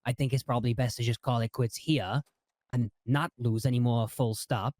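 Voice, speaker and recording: A man in a roleplay meeting, playing an ex-prosecutor who lays out legal advice in a measured, lecturing way with frequent restarts. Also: medium pitch, steady tone, a little mellow, dry recording. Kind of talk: in-character roleplay meeting talk.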